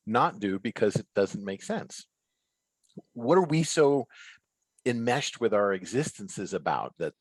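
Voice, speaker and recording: A man with a very deep, husky voice talks as a guest author. He phrases things earnestly and deliberately, pausing as he reflects. The sound is close and dry, with very little room in it. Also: no room sound. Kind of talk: reflective interview conversation over video call. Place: remote video call.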